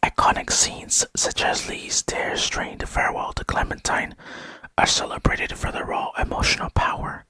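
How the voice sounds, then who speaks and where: A man narrating a gaming video in a breathy ASMR whisper, speaking softly and in a measured way close to the microphone. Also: no echo. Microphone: close microphone, dry sound.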